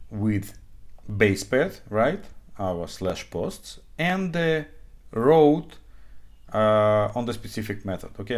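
Low-voiced man. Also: darkish tone. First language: not English; also speaks Hebrew, Russian and Ukrainian. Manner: halting, frequent okay check-ins, non-native phrasing. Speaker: programming instructor. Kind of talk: live-coding tutorial, informal explanation. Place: small indoor room, webcam screen recording.

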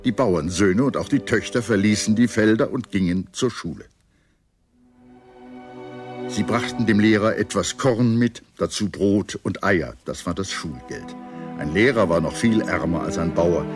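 A man with a low voice delivers scripted documentary narration. He tells it in a measured, articulate way, like an audiobook storyteller. Music plays clearly behind his voice. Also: lively intonation. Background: background music.

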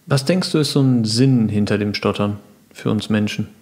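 A man's voice: lowish voice, moderate pace, darkish tone. A man hosting a podcast, interviewing with brief prompts and murmurs of agreement. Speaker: podcast host.

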